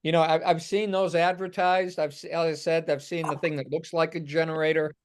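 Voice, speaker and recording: A man sharing his experience casually in a group call, in a measured, tactful manner. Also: a little high, little pitch movement, slight background noise. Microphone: conference call.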